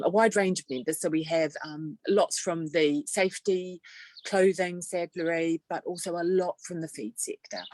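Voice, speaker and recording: A woman's voice: fairly low pitch, no room sound. A middle-aged woman with a New Zealand accent, a podcast guest who explains things steadily and methodically. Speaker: guest, trade association executive director. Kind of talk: conversational podcast interview.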